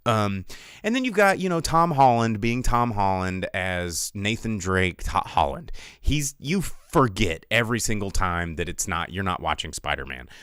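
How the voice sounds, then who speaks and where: Lively, low-pitched man reviewing movies. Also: normal speed, clean tone, no room sound, studio quality. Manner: rambling, joking, sarcastic. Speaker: movie review show host. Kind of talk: casual solo film commentary on a livestream.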